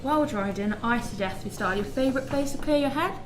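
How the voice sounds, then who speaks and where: A woman's voice: on the high side, quick pace, a little hiss or hum, lightly acted. A woman acting a quirky, playful young woman in a radio drama, teasing and breezily confident. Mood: playful, breezy. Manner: teasing, whimsical.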